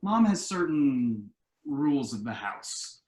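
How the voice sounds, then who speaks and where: An actor playing an earnest, anxious adult son in a conversational comedy play reading, explaining things in an echoey room. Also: male voice, medium pitch, sing-song, slow, a little mellow. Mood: earnest, insistent. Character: earnest adult son, anxious and quirky about house rules.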